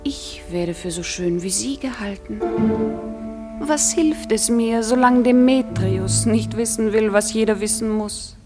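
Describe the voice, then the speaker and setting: A woman plays a lovelorn, envious young woman in a classical radio drama. She declaims verse plaintively in a fairly high voice. The vintage recording carries a long reverb with music behind. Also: unhurried, close to an everyday voice. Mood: bitter longing.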